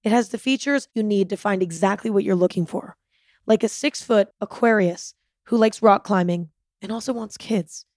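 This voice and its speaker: A woman reading scripted sponsor ads in an even, promotional way. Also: mid-range voice, no room sound, clean studio sound. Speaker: ad reader. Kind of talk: scripted ad read.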